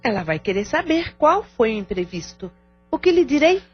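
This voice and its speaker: A woman's voice: high voice, sing-song, moderate pace, breathy edge, exaggerated voice. A Brazilian woman playing a stern, indignant but supportive middle-aged sister in an audio novela. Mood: stern, concerned. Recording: dull lo-fi audio with music bed.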